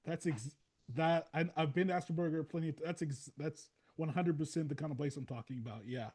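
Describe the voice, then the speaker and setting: High-pitched American man chatting as podcast host. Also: steady tone, a little fast, a little mellow, slightly rough. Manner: rambling, repeats phrases for emphasis. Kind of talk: casual interview chat.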